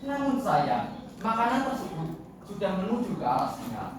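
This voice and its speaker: Contest storyteller, dramatic narration, lively swooping pitch, heavy echo, distant mic. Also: moderate pace. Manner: theatrical, declamatory. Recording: echoey hall, distant mic, noisy.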